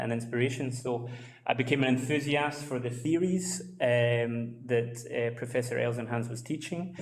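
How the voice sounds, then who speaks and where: A man at a book launch walks through how his book began, pausing often with fillers. His tone stays flat and level, and the sound rings around a large, echoey room on a livestream. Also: medium pitch. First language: English. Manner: hesitant, many fillers. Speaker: book author, speaker at launch. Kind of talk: presentation talk.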